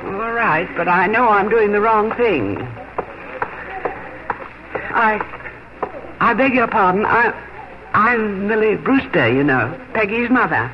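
An actress playing an older, eager but homesick Midwestern wife in a 1950s radio drama, with a hesitant manner. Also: female voice, medium pitch, animated, slow pace, long pauses. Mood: excited, unsure. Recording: noisy, muffled 1950 radio broadcast.

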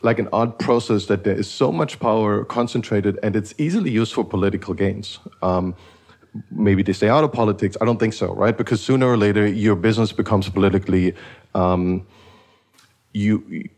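Podcast host, man raised in Eastern Germany, low-pitched voice, musing and rambling delivery. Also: normal speed, a little mellow. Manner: thoughtful, rambling with many restarts. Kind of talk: casual conversational podcast.

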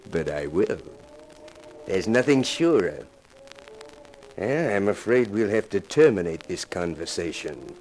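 An actor playing a smug, scheming young killer in a 1950s radio crime drama, threatening and often hushed. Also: male voice, sits low, sing-song, a little slow, long pauses. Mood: smug, gloating. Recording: noisy vintage radio recording.

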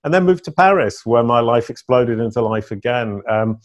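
A man's voice: medium pitch, moderate pace, lo-fi sound. A middle-aged man, a guest telling stories in a casual interview with playful emphasis. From England. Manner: animated storyteller, playful emphasis.

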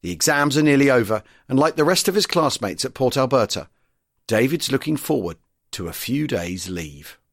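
A man's voice: medium pitch, very animated, moderate pace, no echo, clean studio sound. A man hosting and narrating a scripted show of letter readings, genial and lightly wry. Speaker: host.

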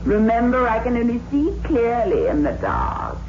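A woman's voice: a little high, wildly swooping pitch, darkish tone, a little hiss or hum. An actress playing a deranged, menacing woman who believes she is a cat, in a 1960s radio horror drama. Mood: cold menace. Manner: slow, deliberate, menacing delivery. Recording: echoey, dull old radio recording with music.

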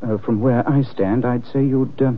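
An actor playing a suave, witty, flirtatious gentleman adventurer in a 1950s radio drama, urbane and wry. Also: mid-range voice, steady tone, moderate pace, natural voice. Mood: wryly charming. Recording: tinny, hissy old radio recording.

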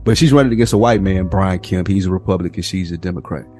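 Low-voiced man, podcast co-host. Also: fast talker, dark tone, faint music behind. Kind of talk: casual conversational podcast discussion.

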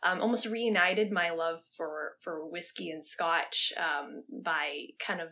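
A woman's voice: fairly low pitch, slow pace, bright, forceful tone. A woman with a Canadian accent, a podcast co-host who earnestly and rather ramblingly explains how she came to love her subject. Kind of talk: casual interview answer.

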